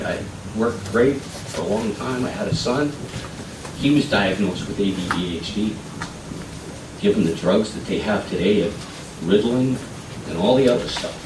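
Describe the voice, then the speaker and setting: A low-voiced man testifies before a legislative committee, earnest and pleading, his appeals turning emphatic. He sounds far from the microphone in an echoey hearing room with steady background noise. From Colorado. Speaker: member of the public testifying. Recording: echoey hearing room, distant mic, noisy.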